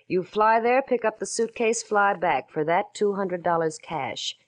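A woman playing a brisk, overbearing young client, clipped and businesslike, in 1940s radio noir style. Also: medium pitch, lightly acted. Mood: demanding. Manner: clipped, businesslike, rushed delivery. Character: brisk, overbearing slightly tarnished blonde woman, twenty-eight.

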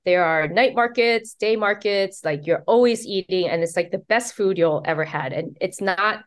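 A middle-aged woman from Taiwan, an author being interviewed, chatting casually and acting out the quotes in her stories with excitement. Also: mid-range voice, moderate pace. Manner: animated, excited storyteller who acts out quotes. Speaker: author and guest.

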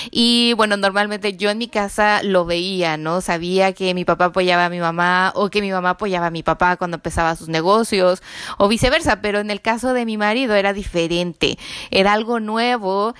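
A woman hosting a casual podcast interview, chatty and quick to laugh. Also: mid-range voice, fairly bright tone, clear, resonant tone, dry recording, clean studio sound. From Mexico. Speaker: podcast host.

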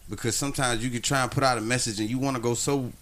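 A man's voice: mid-range voice, fairly even intonation, rapid-fire, fairly bright tone. A man, talking loosely and casually as a podcast host, full of slang. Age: 30s. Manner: loose, slangy, laid-back storytelling. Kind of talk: casual chat.

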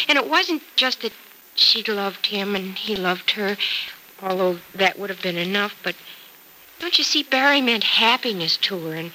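A tender, loyal woman played by an actress in a vintage radio serial. She is earnest and emotive, with a very bright mid-range voice and audible recording noise around it. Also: medium pitch, close to an everyday voice, rough recording. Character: tender, sorrowful woman, loyal and supportive friend. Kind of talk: old-time radio soap opera acting.

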